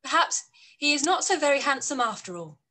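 A young woman playing a wry, teasing gentlewoman in a period radio play. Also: high voice, very animated, a little fast, exaggerated voice. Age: young adult. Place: living room at home, indoors. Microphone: video call.

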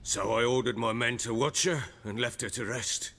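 Man acting a gravelly, blunt fantasy lord. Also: medium pitch, normal speed, background noise, lightly acted. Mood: gruffly hospitable. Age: middle-aged. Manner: blunt, gruff, swearing. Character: burly, gruff, blunt fantasy lord, coarse yet hospitable. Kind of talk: dramatic video game acting.

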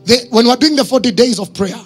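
Casting: Kenyan male pastor, high husky voice, emphatic pulpit delivery with calls to the congregation. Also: fast, soft background music. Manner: emphatic, with short phrases and questions to the congregation. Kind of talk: sermon. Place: church stage, indoors. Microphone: handheld microphone.

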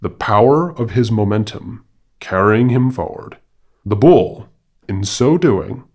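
A man tells an animal fable in a formal, dramatic storytelling style. His voice sits on the low side, and he takes his time, delivering each phrase at a very measured pace. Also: animated, a little mellow. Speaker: podcast narrator.

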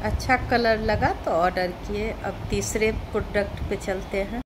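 A woman's voice: medium pitch, fairly even intonation, unhurried. A middle-aged woman, talking casually to viewers as a vlogger narrating an unboxing. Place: indoor room at home. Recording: clearly noisy home phone recording. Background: clear background noise.

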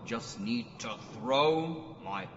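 A man playing a plucky, bewildered old-fashioned space hero in a radio serial, exclaiming and talking to himself haltingly. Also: medium pitch, expressive. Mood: wary. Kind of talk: pulp radio serial acting. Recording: dull, reverberant, faint noise.

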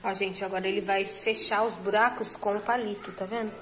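A woman's voice: medium pitch, steady tone, brisk. A woman in her thirties from Brazil, chatting casually with viewers while filming in her home kitchen on a phone. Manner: chatty, addresses viewers directly. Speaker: vlogger. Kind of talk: casual cooking vlog narration.

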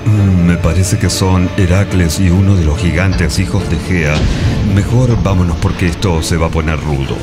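A man's voice: sits low, moderate pace, lightly acted. A man playing an eager investigator in comic radio theatre, explaining ancient customs in a playful way. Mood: discreet, cautious. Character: eager male investigator travelling among ancient Greek gods, explaining and translating. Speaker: podcast radio-theatre performer. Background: music.

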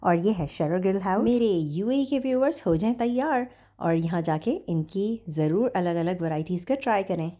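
A woman in her thirties narrating her restaurant review vlog in a calm, friendly way, mixing in English words. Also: medium pitch, moderate pace, hardly any pauses. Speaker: food vlogger. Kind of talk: scripted voice-over narration.

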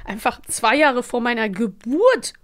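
A woman's voice: a little high, sing-song, unhurried, dry recording, clean studio sound. A young woman co-hosting a podcast, chatty and agreeable, explaining things in casual talk. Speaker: podcast co-host. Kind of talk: casual chat.

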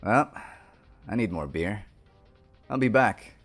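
Lively young college jock, acted by a man. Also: fairly low pitch, slow, faint music behind, exaggerated voice. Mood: relaxed, encouraging. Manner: informal slangy bro talk with hesitations. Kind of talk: roleplay audio acting, casual chat to the listener.

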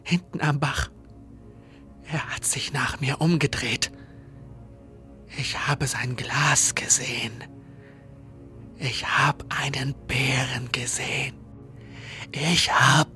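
A man's voice: mid-range voice, fairly even intonation, slow pace, pauses often, bright, forceful tone, faint music behind. A man playing a shaky, disturbed contestant stranded in the wild in a horror audio drama, speaking in abrupt, repetitive fragments. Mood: shaken, fearful.

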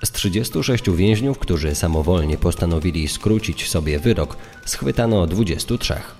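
A man's voice: deep voice, animated, moderate pace, a little mellow. A man reading scripted true-crime narration as a guest narrator. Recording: long reverb, music underneath. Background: background music.

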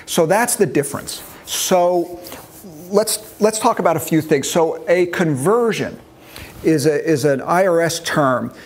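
A man's voice: a little high, unhurried, husky. A man in his early sixties giving an informal classroom talk on retirement money, explaining step by step and checking that his listeners follow, on a lapel mic in a meeting room. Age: early 60s. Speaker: financial planning instructor. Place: meeting room with a seated group.

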